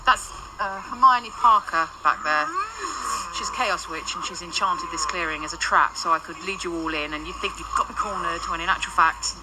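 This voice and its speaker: A woman's voice: on the low side, moderate pace, close to an everyday voice. A woman with a British accent playing an irreverent, wisecracking ghost in a naturalistic radio drama. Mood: exasperated. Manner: flippant, sardonic, chatty. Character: irreverent, wisecracking female ghost sent to negotiate. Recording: loud ambient noise behind.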